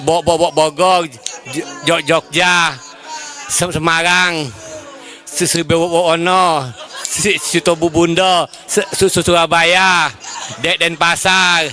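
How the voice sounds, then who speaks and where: Stammering man with a bright, flat voice. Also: on the high side. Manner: stammering through a quick list. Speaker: congregation member.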